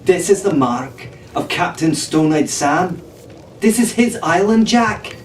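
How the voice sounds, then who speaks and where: A high, eager young pirate woman from a storybook, put on by a male reader. She is adventurous and sure of herself, quick to get excited. The sound is roomy and a little distant from the mic. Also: faint music behind. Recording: echoey room, distant mic, faint hiss.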